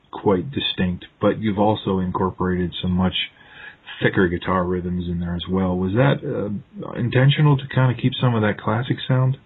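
A man's voice: sits low, husky. A man interviewing a musician in a casual, agreeable way, asking long questions with many fillers. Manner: casual, lots of short agreeing replies. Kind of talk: phone interview. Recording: tinny phone line.

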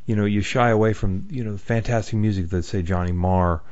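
Male host, rather low voice, chatty and rambling, dull muffled sound. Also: brisk, crowd noise. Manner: chatty, rambling, with many fillers. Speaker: interview host. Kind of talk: casual interview chat. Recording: muffled lo-fi audio.

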